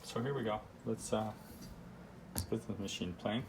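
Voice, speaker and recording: A man presenting a casual product demo in a halting, unscripted, explanatory way. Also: on the low side, background noise. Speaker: presenter demonstrating vintage audio gear.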